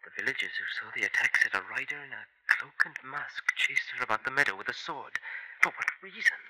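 A worried young husband. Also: male voice, mid-range voice, animated, fairly quick, sharp tone, slightly rough, exaggerated voice, poor audio. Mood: troubled. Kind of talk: 1950s radio drama acting.

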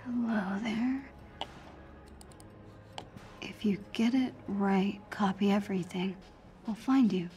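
A woman's voice: medium pitch, fairly even intonation, takes it slowly, pauses often, dark tone, lightly acted. An actress playing a nerdy, eager teenage girl sleuth muttering to herself in a video game. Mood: curious, excited. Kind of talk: video game cutscene acting.

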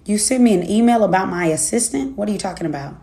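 A woman's voice: medium pitch, animated, fast, dark tone, reverberant. A woman in her twenties, a minister and life coach, preaching her testimony to viewers in an emphatic, repetitive cadence. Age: 20s.

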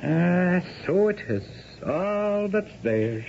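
An actor playing a sly, whimsical old Irish storyteller in a radio drama, with a teasing, folksy lilt. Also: male voice, high voice, big pitch swings, drawn-out words, darkish tone, heavily acted. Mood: sly, amused. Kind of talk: 1970s radio drama acting. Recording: dull, noisy vintage radio broadcast.

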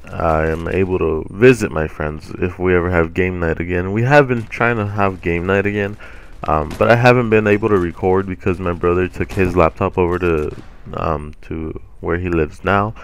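A young man with a very low, deep voice rambles chattily over gameplay, full of ums and restarts. His tone stays smooth and resonant even though his throat is a little sore. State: sore throat from swollen tonsils. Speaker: gaming channel host.

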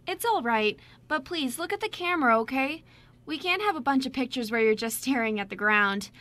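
High, bright, coaxing photographer played by a woman. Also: lively intonation, brisk. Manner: coaxing, reassuring. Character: kind, encouraging fashion photographer. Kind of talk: amateur radio play acting.

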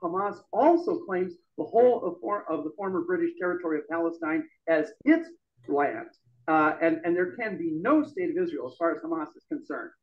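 Middle-aged guy with a loud high voice ranting at an open mic in a bar, kinda dull lo-fi sound. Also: expressive, a little fast. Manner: loud, emphatic, gesturing. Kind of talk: public forum speech. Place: bar room with a small audience. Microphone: stand mic.

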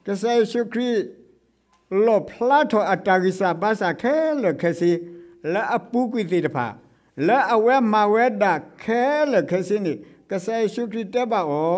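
A middle-aged man preaches with a Karen accent, his voice sitting fairly high for a man. He swings between ringing exclamations and hushed, earnest passages while gesturing. He speaks close to a stand mic on a church stage. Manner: impassioned, swinging between loud exclamations and quiet speech, gesturing. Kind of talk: sermon. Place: church stage before blue curtain. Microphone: stand mic close to mouth.

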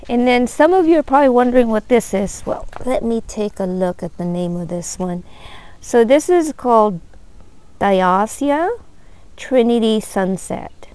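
A woman gives an unhurried, admiring tour of her backyard garden, filming on a handheld phone outdoors. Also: medium pitch, darkish tone, rough recording. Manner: unhurried, admiring, many fillers. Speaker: gardener vlogger. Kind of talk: casual garden tour narration.

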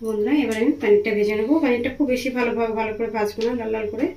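Fast-talking woman in a sizzling, echoey kitchen. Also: a little high, steady tone, very noisy. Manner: chatty, keeps addressing viewers as friends. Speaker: cooking channel host. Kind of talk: step-by-step cooking narration. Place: home kitchen, cooking at a gas stove. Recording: echoey tiled kitchen with loud frying. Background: sizzling and frying from the pan.

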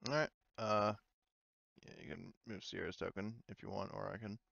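A man's voice: on the low side, fairly even intonation, normal speed, creaky, a bit bright, no echo, muffled recording. A man acting as game master, informally narrating and explaining an online tabletop roleplaying game with hesitant phrasing.

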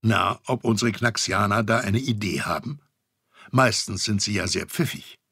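A man's voice: on the low side, moderate pace, bright, forceful tone, breathy edge, no room sound, clean studio sound. A man narrating a scripted children's story with a wry, storytelling manner.

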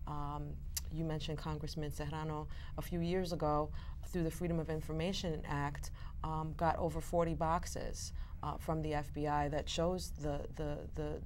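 A woman in her thirties speaks as a guest on a televised panel, her voice low and unhurried. She pauses often with ums and you-knows while making her points. A faint hum of ambience sits under the studio sound. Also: little pitch movement, a little mellow. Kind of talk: panel discussion.

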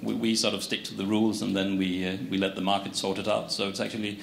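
Man, regulator panelist, fast gravelly low voice, echoey hall. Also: flat delivery, slight background noise. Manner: hedging, restarts, quick. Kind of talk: conference panel discussion. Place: large conference room with audience. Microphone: handheld mic. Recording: echoey hall, faint ambience.

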